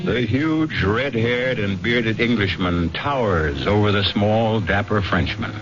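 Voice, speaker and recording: A man hosting a radio mystery show, narrating in a deliberate, suspenseful old-time dramatic style. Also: fairly low pitch. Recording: dull old radio recording with music.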